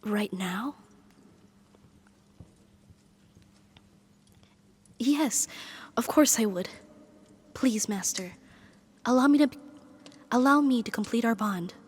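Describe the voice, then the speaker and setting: Woman voicing a slow, soft-spoken lovestruck demoness. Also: fairly high pitch, expressive. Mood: eager, pleading. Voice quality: soft, breathy, often dropping to a whisper. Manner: formal, devoted, slow and hesitant phrasing. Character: powerful female demon, lovestruck and devoted to her master. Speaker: ASMR roleplay performer. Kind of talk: intimate ASMR roleplay acting.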